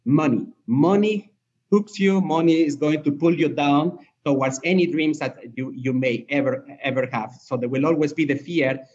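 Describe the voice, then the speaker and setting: A man from Peru talks through his choices as a co-founder in a reflective interview, halting and restarting his phrases as he goes. His voice sits a little high for a man. The recording sounds dull and lo-fi. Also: a little mellow.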